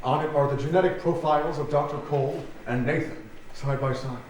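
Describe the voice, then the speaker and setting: A man's voice: a little high, brisk, a little mellow. A man reading scripted stage directions as the narrator of a staged theatre reading. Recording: very echoey theatre, distant mic, faint noise.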